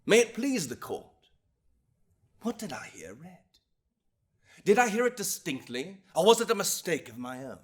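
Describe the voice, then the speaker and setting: Man acting an impassioned, wide-swinging colonial lawyer. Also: on the high side. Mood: incredulous, hushed. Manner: theatrical, swinging from hushed to thundering, with long pauses. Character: impassioned young 18th-century lawyer, indignant defender of liberty. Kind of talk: dramatic courtroom oration monologue.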